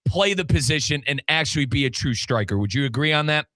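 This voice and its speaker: A man's voice: a little high, animated, fast talker, bright, forceful tone, no echo. A man hosting a casual sports talk show in a chummy, slangy manner. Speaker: sports radio host.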